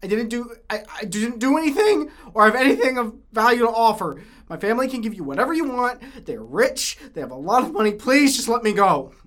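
A woman's voice: fairly high pitch, very animated, a little fast, a bit bright. Woman acting stammering, pleading kidnapped teenage girl. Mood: pleading, scared. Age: young adult. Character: frightened, then scornful kidnapped high school girl. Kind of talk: student audio drama acting.

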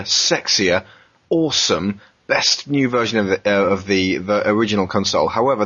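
Husky low-voiced man hosting casually. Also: fairly bright tone, highs cut off. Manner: informal, jokey, prompting others with questions. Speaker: podcast host. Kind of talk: casual panel discussion.

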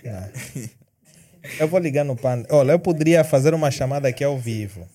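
A man's voice: medium pitch, expressive, fairly quick, mellow. Teasing, probing male host. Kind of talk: casual interview chat.

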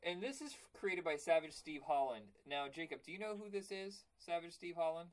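A man with a raspy voice hosting a casual cartoon podcast, telling stories and acting out quotes. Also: fairly high pitch, a little fast. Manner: animated storytelling, acts out quotes. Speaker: podcast host. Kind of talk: casual chat.

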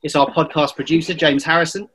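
A man's voice: on the high side, fairly even intonation, fast, fairly bright tone, slightly rough. Welsh man hosting a jokey podcast. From Wales. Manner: jokey, hesitant with fillers. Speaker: podcast host. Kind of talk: casual podcast chat.

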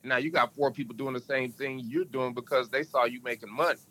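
A man's voice: steady tone, fairly quick, sharp tone, slight background noise, rough recording. A man guest on a podcast, talking in a casual, emphatic, storytelling way. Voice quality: can go deep. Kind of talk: casual interview talk.

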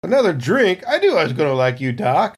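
Man playing a slangy, higher-voiced space Marine. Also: big pitch swings, brisk, breathy edge, heavily acted. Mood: boisterous, amused. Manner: slangy, teasing, incredulous. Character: boisterous, slangy hard-drinking male space Marine. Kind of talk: improvised tabletop roleplay.

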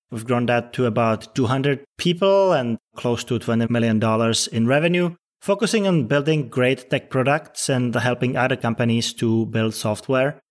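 Czech-born man in his thirties, measured. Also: medium pitch. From Czech Republic. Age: 30s. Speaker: startup CEO guest. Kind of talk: podcast interview answers.

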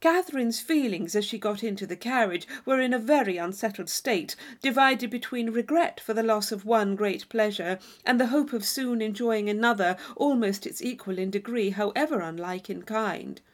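A woman's voice: on the high side, normal speed, few pauses. A woman reading a classic novel aloud as scripted audiobook narration.

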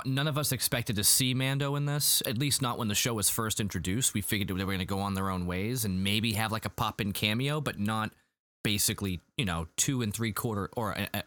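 Low-voiced man hosting a casual review chat. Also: a little fast, no echo. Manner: relaxed, chatty, many fillers and restarts. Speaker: podcast host.

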